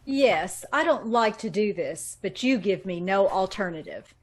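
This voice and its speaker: A woman plays a cold-blooded schemer from an old radio thriller. She sits in a middle register and talks down to people with calm menace, like someone who has planned every step. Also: medium pitch, a little slow. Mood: cold, menacing. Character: cold, scheming murderess pretending to be another woman. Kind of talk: old-time radio drama acting.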